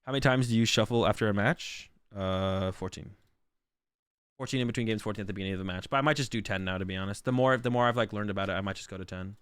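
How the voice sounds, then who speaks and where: A man's voice: fairly low pitch, fast talker, a bit bright, clean tone, no echo, studio quality. A man who is sick and losing his voice, rambling through casual stream commentary with lots of fillers. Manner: rambling, filler-heavy, thinks aloud.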